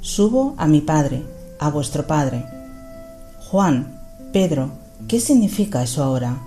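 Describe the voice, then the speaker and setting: A woman as a pensive, low-voiced female disciple. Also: big pitch swings, slow, mellow, faint music behind, exaggerated voice. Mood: puzzled, pensive. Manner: reflective, questioning. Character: pensive, puzzled woman disciple Mary Magdalene. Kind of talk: youth radio play acting.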